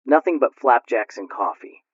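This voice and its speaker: A man's voice: mid-range voice, expressive, moderate pace, slightly rough, dry recording. A man playing a forlorn, earnest young suitor in a radio play, speaking in a formal, melodramatic manner. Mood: eager. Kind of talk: radio drama acting. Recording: dull, close, dry recording.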